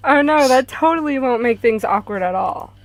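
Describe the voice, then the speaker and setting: A woman's voice: high-pitched, lively intonation, moderate pace, no room sound. A woman voicing a reluctant, defensive young woman, the heroine of a visual novel.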